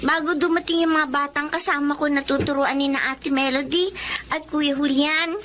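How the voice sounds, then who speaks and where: An actress playing an eager, respectful young library helper in a Filipino radio drama. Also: female voice, high voice, fairly even intonation, moderate pace, a bit bright. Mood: eager, cheerful. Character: Aisa, eager polite young child helper at village library.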